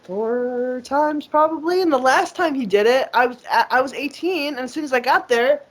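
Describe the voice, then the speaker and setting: A woman with a slightly high voice talks loosely and at length. She curses freely, circles back on herself and keeps starting her sentences over. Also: normal speed. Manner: rambling, profane, frequent fillers and restarts. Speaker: interview guest. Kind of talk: casual conversation.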